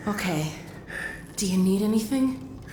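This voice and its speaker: Young adult daughter, game role, played by a woman: tender, solicitous, mid-pitched, echoing over heavy noise. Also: animated, unhurried, lightly acted. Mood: worried, tender. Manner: soft, solicitous. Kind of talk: naturalistic video game acting. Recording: echoey, loud background noise.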